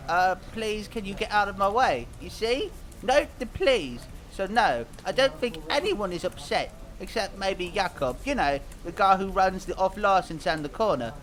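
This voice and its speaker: A man plays a young cartoon band singer with a notably high voice. He is earnest and a bit dim, rambling hesitantly and naively as he answers interview questions. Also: lively intonation, moderate pace, a bit bright. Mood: naive, pleased. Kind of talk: scripted mock interview, fan dub.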